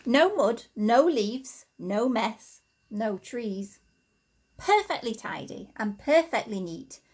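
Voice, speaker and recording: A woman acts out a fussy, tidy storybook badger. He sounds earnest and pleased with himself, speaking emphatically in a high, swooping voice. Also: big pitch swings, slow pace, a little mellow, slightly rough, exaggerated voice. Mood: proud, satisfied.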